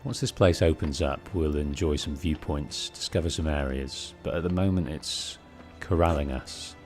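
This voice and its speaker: Deep-voiced British man streaming a game. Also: moderate pace, a little mellow, soft background music. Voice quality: deep, bassy. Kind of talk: let's play commentary, storytelling. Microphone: close bass-heavy streaming microphone.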